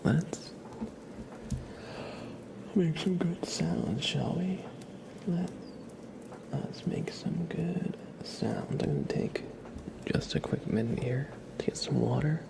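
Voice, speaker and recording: Whispering ASMR man, creaky and soft. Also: on the high side, expressive, slow pace, long pauses, far from the mic. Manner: soft whispering, gentle coaxing talk. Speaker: ASMR live streamer. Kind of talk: ASMR, casual chat.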